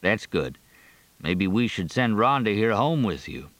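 A man's voice: fairly low pitch, sing-song, measured pace, fairly bright tone, dry recording. A man voicing a small-town sheriff, wry and plain-spoken, in a novel reading. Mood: businesslike. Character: dry-humored, folksy small-town Southern sheriff, long married.

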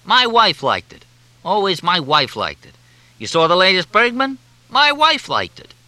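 Man plays cranky audiobook columnist, cigar-chewing crank. High, swooping delivery, badgering and crude, endless rhetorical questions. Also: huge pitch swings, unhurried, bright, forceful tone, no echo, exaggerated voice. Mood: exasperated ranting. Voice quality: talks through a chewed cigar. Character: cantankerous, ranting male newspaper humor columnist.

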